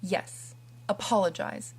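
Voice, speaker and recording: A woman voicing a shy, kindly elderly farmer who coaxes in a hushed, hesitant way. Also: takes it slowly, lightly acted. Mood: coaxing.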